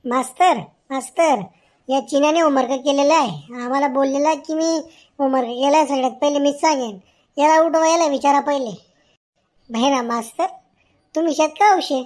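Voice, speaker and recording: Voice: sits high, normal speed, lightly acted. A woman voicing a mischievous, argumentative village schoolboy in a comedy cartoon, with a Khandeshi Ahirani accent. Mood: cheeky. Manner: cocky, teasing, argumentative. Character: cheeky, mischievous village schoolboy who talks back to teacher.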